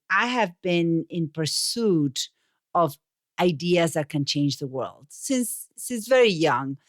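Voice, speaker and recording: A woman's voice: on the low side, no room sound, clean studio sound. A woman in her forties with an Argentine Spanish accent, telling her story conversationally as an interview guest. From Buenos Aires, Argentina. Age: 40s. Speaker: podcast guest, communications coach.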